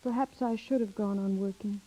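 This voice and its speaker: An actress playing an earnest, anxious young wife, emotional and halting, in a 1930s radio drama. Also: female voice, mid-range voice, steady tone, warm tone, natural voice. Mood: troubled. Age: young adult. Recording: dull vintage 1930s radio recording.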